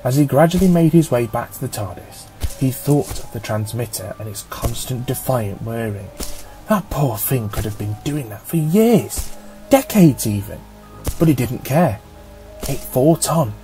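Guy reading an audiobook story, soft dark mellow voice, measured, bit of music behind. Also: medium pitch, animated, low-quality recording. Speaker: audiobook narrator. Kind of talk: scripted story narration.

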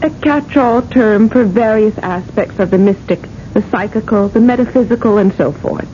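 Mellow, formal secretary played by an actress. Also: female voice, mid-range voice, normal speed, lightly acted. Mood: crisp, businesslike. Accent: American. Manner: formal, matter-of-fact. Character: efficient, unflappable female corporate secretary giving reports. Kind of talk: radio drama acting. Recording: dull vintage radio recording, faint noise.

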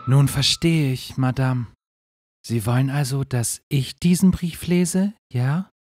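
An actor voicing a kind, polite young prince in a cartoon dub. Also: male voice, medium pitch, slow, lightly acted. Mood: earnest. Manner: earnest, polite. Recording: music behind.

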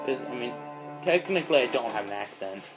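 A man's voice: medium pitch, brisk, music in the background. A teenage boy casually commenting on his gameplay, yelping when he gets hurt, filmed by a phone in a dim room. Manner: excitable, yelps when in danger. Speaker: let's play host. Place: dim room in front of a screen.

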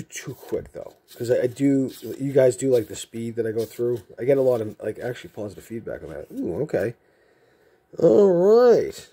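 A man with a very dark, mellow and husky voice, from Massachusetts, with a softened Massachusetts accent. He talks casually and rambles from one joking aside to the next as he sorts cards in a room lined with shelves. The camera mic picks him up while he stays out of frame. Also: medium pitch. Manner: rambling, chatty, jokey asides. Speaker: card collecting channel host. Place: indoor room with card shelves.

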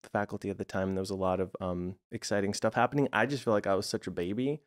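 A man's voice: on the low side, steady tone, rapid-fire. A man in his forties from the Los Angeles area, whose first language was Croatian, talking as a guest in a casual interview in a reflective, meandering way with many fillers. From United States (Los Angeles area). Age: 40s. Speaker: guest, art therapist.

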